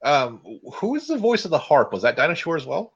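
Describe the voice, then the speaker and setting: A jokey man co-hosting a casual show, with a bright, forceful tone. His pitch swings widely as he talks. He often breaks into goofy sung snippets. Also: wildly swooping pitch, brisk, slightly rough, lo-fi sound. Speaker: podcast co-host. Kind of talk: casual chat about old cartoons.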